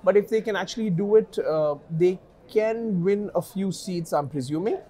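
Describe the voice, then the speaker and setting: A man in his thirties, an analyst guest in a news studio interview, speaking in a measured way with many fillers on a lapel mic. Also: sits high, dark tone.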